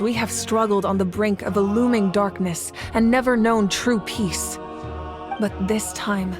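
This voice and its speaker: A woman's voice: medium pitch, natural voice. Composed, determined female war leader played by a woman. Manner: earnest, assured, measured explaining. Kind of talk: dramatic video game acting. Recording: music playing behind the voice.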